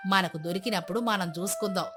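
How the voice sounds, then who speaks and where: A woman voicing a kind, wise elderly village grandmother in an animated-style moral story, gently giving advice. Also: medium pitch, fast talker, music in the background, voice pushed down, natural voice. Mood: calm, wise.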